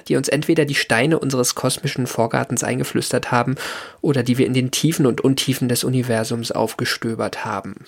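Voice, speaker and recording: A man co-hosting a podcast in casual chat, slightly distorted by a cold, hesitant with fillers and restarts. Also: medium pitch. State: has a cold, sounds a bit distorted. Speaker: podcast co-host.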